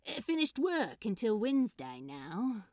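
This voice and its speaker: A woman's voice: a little high, very animated, darkish tone, no echo, studio quality. A woman voicing an awkward, lovestruck, self-deprecating man with a hesitant manner in a story reading. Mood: nervously friendly. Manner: hesitant, halting.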